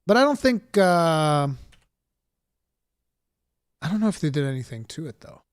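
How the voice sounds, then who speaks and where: A young man from Portugal hosting a game livestream, talking casually and excitedly and thanking viewers as he goes. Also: a little high, a little slow, clear, resonant tone, dry recording. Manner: excited, chatty, thanks viewers with a set phrase. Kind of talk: casual live commentary.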